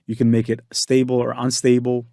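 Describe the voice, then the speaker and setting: A man giving a casual software tutorial, explaining step by step with frequent fillers and restarts. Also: mid-range voice, completely flat delivery, fairly quick, gravelly, no echo, studio quality. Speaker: tutorial instructor.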